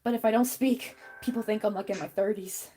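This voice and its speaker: A woman's voice: medium pitch, fairly even intonation, a little fast, warm tone. A young woman with a young-sounding voice, chatting casually and muttering to herself as she crochets. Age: young adult. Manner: chatty, talks to herself while working, mutters frustrations. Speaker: crochet channel host. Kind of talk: casual chat while crafting. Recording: music playing underneath.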